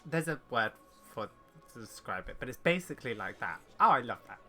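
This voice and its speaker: A man giving casual, halting commentary on a game as he thinks aloud, with music underneath. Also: mid-range voice, very animated, bright, forceful tone. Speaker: gaming streamer.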